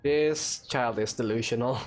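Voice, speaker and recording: A woman doing casual gameplay commentary in a halting, dryly joking way. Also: rumbling low, darkish tone, soft background music. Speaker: gaming streamer.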